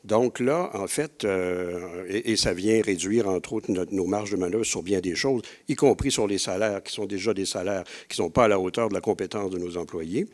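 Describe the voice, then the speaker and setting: A man's voice: sits low, moderate pace, few pauses. A man explaining methodically in a panel discussion, point by point as a publisher.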